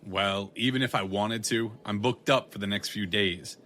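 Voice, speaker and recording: Weary male detective, played by an actor, noir audio drama: slightly low voice, wry, blunt, sarcastic. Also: moderate pace, bright, forceful tone, slight background noise. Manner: sardonic, wry.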